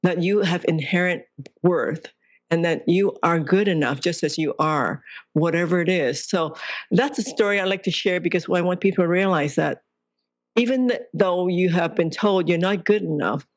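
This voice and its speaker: A woman's voice: fairly low pitch, moderate pace, dry recording. A woman from Hong Kong, a guest author in an interview, speaking in a reflective, earnest way and laughing lightly now and then. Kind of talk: interview conversation.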